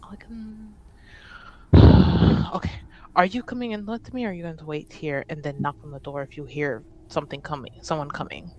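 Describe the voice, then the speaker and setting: A tabletop roleplaying player improvising casually in character, halting at times and easily given to giggles. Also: medium pitch, fairly even intonation, mellow, lo-fi sound, slight background noise. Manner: giggly, breaks into laughter.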